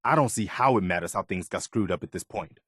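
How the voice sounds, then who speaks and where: An actor playing an earnest, dutiful, plainspoken militia lieutenant in a post-apocalyptic video game. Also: male voice, low-pitched, brisk, a bit bright, breathy edge, dry recording, studio quality. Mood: earnest, concerned. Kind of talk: video game character dialogue.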